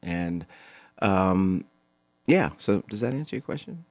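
A man in his fifties, a veteran actor guest on a radio show, telling a story in a relaxed, halting way with many pauses. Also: deep voice, no echo. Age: 50s. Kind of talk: casual storytelling interview. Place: radio studio.

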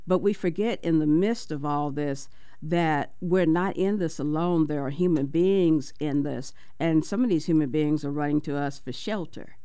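Co-host on talk radio, smooth clear voice, dry close mic, earnest. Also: mid-range voice, moderate pace, warm tone, no echo. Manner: earnest, impassioned, hesitant at times. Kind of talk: community radio talk show.

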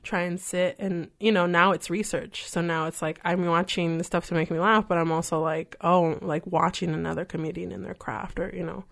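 Woman comedian guest, halting and filler-heavy, lowish voice, laughs a lot. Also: level tone, vocal fry, fairly bright tone. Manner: hesitant, many fillers, laughs often. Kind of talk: casual interview.

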